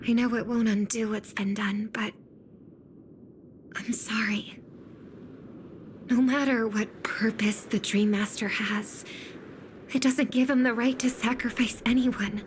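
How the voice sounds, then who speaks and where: A gentle young woman in a video game, with a fairly high voice and slow, measured delivery. She sounds earnest, dignified and formal, with some ambient noise behind her. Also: steady tone. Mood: earnest, sympathetic. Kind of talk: scripted game voice acting.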